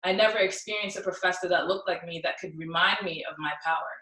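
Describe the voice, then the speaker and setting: A woman's voice: lowish voice, steady tone, fast, pushed and bright. A young woman from South Sudan giving an earnest personal talk at a symposium, with many ums. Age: young adult. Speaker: symposium speaker. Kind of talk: personal talk with recited poem. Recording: echoey room, distant mic.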